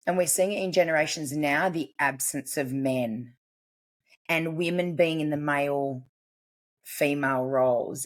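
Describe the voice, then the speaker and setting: A woman, a family constellations practitioner and podcast guest, explaining her ideas earnestly in casual chat. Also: low-pitched. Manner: earnest, explanatory, quick bursts.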